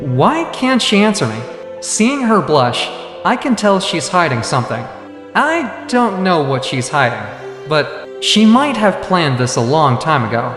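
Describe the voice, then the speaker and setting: A man playing a calm, analytical young hunter who leads a guild in a manga dub, probing and deadpan. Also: fairly high pitch, unhurried, faint music behind. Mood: puzzled, suspicious.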